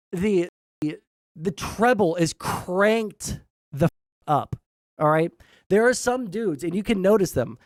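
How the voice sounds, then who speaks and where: A young man with a high tenor voice, giving blunt, sarcastic reaction commentary and swearing freely. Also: darkish tone, dry recording, studio quality. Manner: blunt, sarcastic, swears often, many "all right?" tags. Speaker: commentary streamer.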